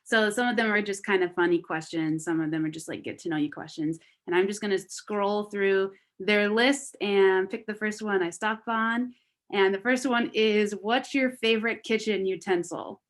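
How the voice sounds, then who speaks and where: A young woman hosts a casual welcome session over a video call. She talks in a relaxed, chatty way, with plenty of ums and uhs. Her voice sits at an ordinary middle pitch. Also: normal speed. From Las Vegas. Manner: chatty, relaxed, many fillers. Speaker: wellness committee member hosting a video call. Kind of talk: casual online presentation.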